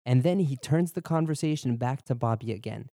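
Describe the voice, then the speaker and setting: Dark-voiced man teaching a bilingual lesson. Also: medium pitch, no room sound, studio quality. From born in Germany, raised in Boston. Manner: clear, measured explanations, slows down for repeat drills. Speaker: podcast language teacher. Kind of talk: bilingual lesson narration, Japanese and English.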